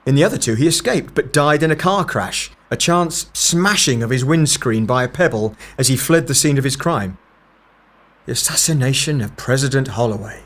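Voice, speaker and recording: A man's voice: medium pitch, moderate pace, a bit bright, close to an everyday voice. A man playing a serious, analytical time agent in an audio drama, terse, authoritative and matter-of-fact. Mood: explanatory.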